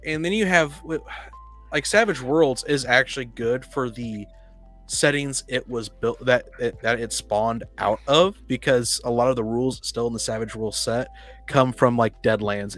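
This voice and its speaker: A man hosting a casual discussion of tabletop games, talking in a halting, rambling way that often repeats words. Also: mid-range voice, steady tone, a bit bright, soft background music.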